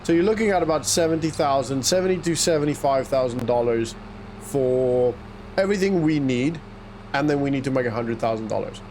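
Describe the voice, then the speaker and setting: A man's voice: mid-range voice, brisk, a little mellow, slight background noise. A man whose first language is Afrikaans, chatting casually and rambling to himself while playing a farming game. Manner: rambling, self-talking, chuckles to himself. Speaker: gaming YouTuber. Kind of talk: casual let's-play commentary.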